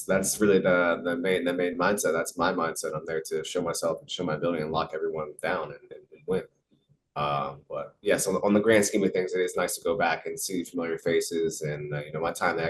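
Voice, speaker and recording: A man's voice: deep voice, noisy background. A young American man, a professional soccer player, answering interview questions casually, with many fillers and repeated words. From United States.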